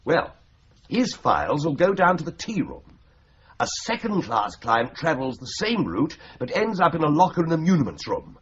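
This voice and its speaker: A man's voice: a little high, huge pitch swings, normal speed, a little hiss or hum. An actor playing a wry, teasing young male solicitor's clerk in a radio drama. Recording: dull lo-fi radio play recording.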